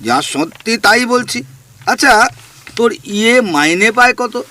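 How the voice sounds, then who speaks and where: A sly, teasing male ghost from a radio play, acted by a man, with a high, bright voice that leaps up and down. He spills secrets in a needling tone. The sound is dry and close. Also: lively intonation, slow, heavily acted.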